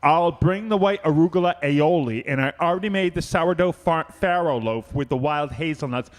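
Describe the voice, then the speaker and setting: Echoing in a hall, a man acts out a fussy gourmet son for a comic radio skit. His voice is slightly high for a man, and his delivery is particular about every detail. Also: moderate pace, lightly acted. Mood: fussy, eager. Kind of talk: comic radio play acting. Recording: echoey hall with long reverb.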